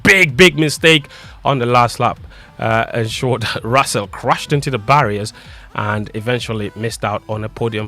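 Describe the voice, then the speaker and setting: Sports news guy on radio, bright edgy voice, reads crisp with little uhs, dry mic. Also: medium pitch, dry recording. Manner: crisp reading with small stumbles and chuckles. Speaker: sports presenter. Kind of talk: read sports bulletin.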